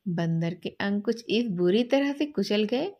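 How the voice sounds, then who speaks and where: A woman telling a story to children expressively, in a gentle, teaching way. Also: mid-range voice, lively intonation, a little slow.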